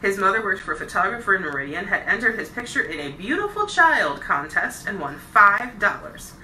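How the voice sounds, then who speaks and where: A woman reading a novel aloud as a bedtime storyteller, with expressive, deliberate phrasing and stressed words. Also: medium pitch. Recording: roomy echo, distant mic, faint noise.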